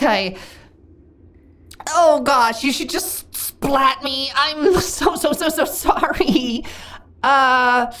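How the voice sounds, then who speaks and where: Woman acting a slow, breathy slime monster girl. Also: high-pitched, a bit bright, heavily acted. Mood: flustered, apologetic. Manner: breathy, hesitant stammering, drifting into whispers. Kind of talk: intimate roleplay audio acting.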